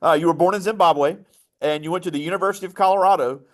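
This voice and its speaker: A man hosting a conversational interview with a guest, speaking as a teacher in a high school classroom. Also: rapid-fire.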